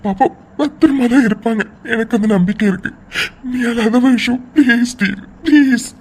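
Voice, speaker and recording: A woman playing an anxious young husband in an emotional audio drama, pleading tenderly and haltingly as he reassures his wife. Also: a little high, a little mellow, close to an everyday voice. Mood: pleading, tearful. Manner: pleading, halting, repeats words, tearful. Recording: faint background noise.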